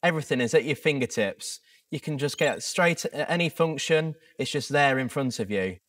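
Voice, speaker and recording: A man's voice: mid-range voice, lively intonation, moderate pace, a bit bright. A man, a farmer giving an enthusiastic customer testimonial. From England. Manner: enthusiastic, emphatic.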